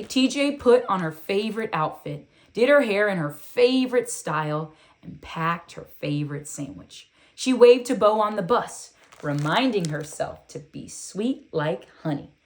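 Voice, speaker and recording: A woman reading a children's book aloud in an expressive, deliberate storytelling style with emphatic phrasing. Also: mid-range voice.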